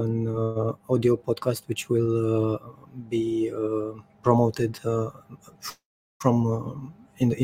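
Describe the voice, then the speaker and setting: A man hosts a podcast over an online call, pausing on fillers and restarting his sentences. His voice is mellow and even-toned. A faint noise sits under the recording. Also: medium pitch. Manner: halting, many fillers and restarts. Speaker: podcast host.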